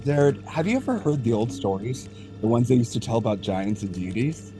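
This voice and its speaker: A man plays a seasoned alien technician in an audio drama. He is patient and thoughtful, explaining in a measured way at a middle pitch, with music faintly underneath. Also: expressive, mellow, lightly acted, poor audio. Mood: reflective. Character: experienced alien data center technician, male, patient, thoughtful.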